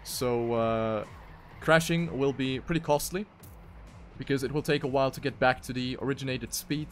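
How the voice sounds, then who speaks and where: A man's voice: medium pitch, fairly even intonation, normal speed, soft background music. A man giving live commentary on a racing video game as an esports caster.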